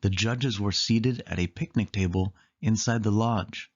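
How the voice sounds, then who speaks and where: A storyteller reading a children's book aloud in an animated way, lingering on listed words. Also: medium pitch, a little slow, highs cut off.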